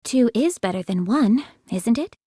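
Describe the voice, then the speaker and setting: A woman's voice: on the high side, animated, measured pace, mellow, slightly rough, no room sound, clean studio sound. A woman playing a caring, decisive android squad leader in a game, with a direct, commanding manner. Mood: friendly. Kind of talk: anime-style game voice acting.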